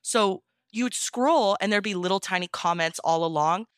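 A woman's voice: medium pitch, normal speed, clear, resonant tone, no room sound, studio quality. A young woman chatting casually as a podcast guest, gushing with enthusiasm and peppering her talk with "like".

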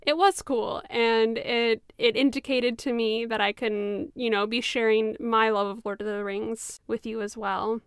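Higher-voiced woman hosting a podcast, crisp and dry. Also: steady tone, measured pace, hardly any pauses, no room sound. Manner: enthusiastic, chatty. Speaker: podcast co-host. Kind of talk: casual podcast chat.

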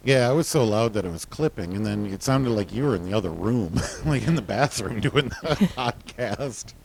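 A man co-hosting a podcast in casual chat, talking with a loud, full voice that carries, laughing often. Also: lowish voice, expressive, normal speed, creaky, noisy background. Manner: loud, carrying voice. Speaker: podcast co-host.

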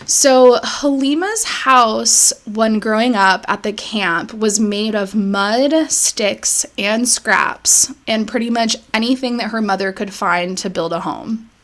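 A woman's voice: a little high, slow, hardly any pauses. A woman co-hosting a podcast, casually retelling a life story with lots of fillers. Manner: relaxed, filler-heavy retelling. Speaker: podcast co-host.

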